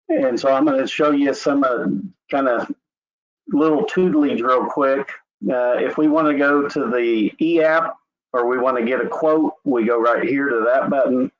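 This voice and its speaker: A man from Oklahoma with an Oklahoma accent, walking viewers through a website during a webinar in a loose, conversational way with frequent "uh" and "anyway.". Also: medium pitch. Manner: relaxed, conversational, with filler "uh" and "anyway". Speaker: insurance company representative. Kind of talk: screen-share webinar walkthrough. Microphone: video call. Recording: dull lo-fi web call audio.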